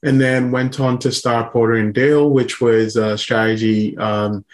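A man from Ghana, a startup founder, talking about his career as a podcast guest in a relaxed way, with small laughs and many ums. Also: mid-range voice, measured pace. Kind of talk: casual interview.

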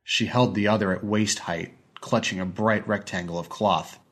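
A man's voice: lowish voice, fairly even intonation, normal speed, fairly bright tone. A man reading a scripted short story as an audiobook narrator.

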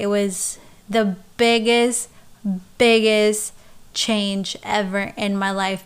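Slow, smooth-voiced woman from the Philippines. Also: medium pitch. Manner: halting, with many restarts and soft laughs. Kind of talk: casual personal storytelling, answering questions.

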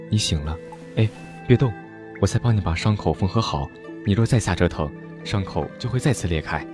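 A man voices a young wuxia swordsman in a radio drama, low-voiced but animated, teasing and full of life. His pitch swings freely as he talks. A music score plays beneath him. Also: a little slow, pauses often. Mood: concerned. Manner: teasing, lively, expressive. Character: young male martial-arts hero, cheerful and persistent. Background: music score underneath.

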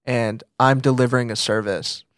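A young American man co-hosting a podcast, chatting casually and confessionally, thinking aloud in halting fragments. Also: medium pitch, fairly even intonation, clear, resonant tone, no echo, clean studio sound. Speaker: podcast co-host, photographer.

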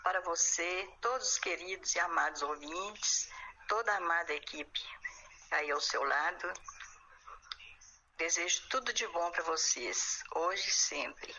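A woman's voice: fairly low pitch, a little slow, bright, forceful tone, a little hiss or hum. A woman leaving a recorded voice message full of blessings for a radio host and his listeners. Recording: dull lo-fi phone voice message.

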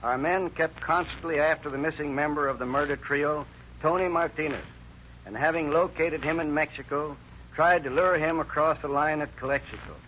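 A man's voice: on the high side, steady tone, normal speed, a bit bright. A man giving a formal, scripted sheriff's address in a 1930s radio style. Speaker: sheriff giving case epilogue. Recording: noisy muffled old radio recording.

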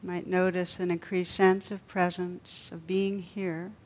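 A woman's voice: fairly low pitch, flat delivery. Woman guiding meditation, slightly muffled recording. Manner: gentle, unhurried, with pauses. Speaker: meditation teacher. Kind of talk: guided meditation.

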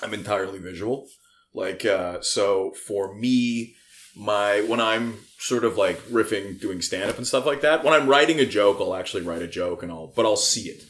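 A man who does stand-up comedy, chatting casually with deadpan, crude jokes and bursts of mock outrage. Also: lowish voice, normal speed. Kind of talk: crude joking banter.

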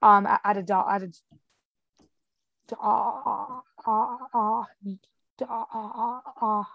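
A young woman speaks casually as a podcast guest, with a clear stutter that repeats sounds and blocks on words. She talks frankly and chattily, full of fillers, in a fairly high voice. The recording is dry and close. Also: steady tone, slow, no echo, muffled recording. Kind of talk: casual conversational interview.

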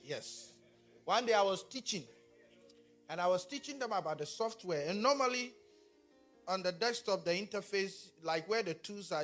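A man from Ghana with a Ghanaian accent, preaching a sermon and talking back and forth with his congregation. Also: high-pitched. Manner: animated, talks with the congregation. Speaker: pastor.

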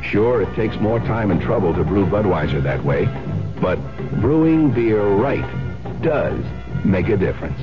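An American man delivers a radio commercial in a low, animated voice. He sells in a folksy, emphatic way. Music plays behind him on a dull old recording. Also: measured pace. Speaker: commercial announcer. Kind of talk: scripted radio advertisement.

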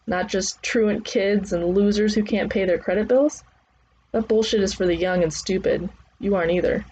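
A woman voicing a sly, blunt older male officer, a gruffly teasing mentor in an audiobook. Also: medium pitch, fairly even intonation, moderate pace, mellow, a little hiss or hum, lightly acted, low-quality recording. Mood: persuasive. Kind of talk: acted audiobook dialogue.

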